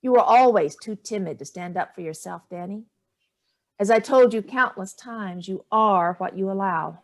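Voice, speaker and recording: A woman's voice: mid-range voice, normal speed, natural voice. An older woman playing a haughty, evasive elderly mother in a staged play reading, dismissive and theatrical with barbed remarks. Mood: scornful.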